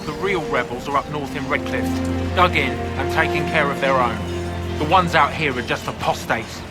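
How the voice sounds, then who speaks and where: An actor playing a weary, practical corporal in a video game, blunt and matter-of-fact with dry exasperation. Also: male voice, a little high, moderate pace, natural voice. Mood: weary briefing. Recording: loud game music behind.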